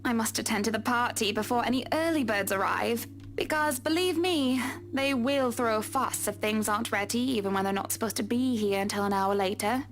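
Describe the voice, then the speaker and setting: Actress as refined party hostess, very high voice, polite yet insistent, visual novel game. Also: female voice, fairly quick, lightly acted. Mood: polite, busy. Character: gushing, insistent high-society hostess, adult woman.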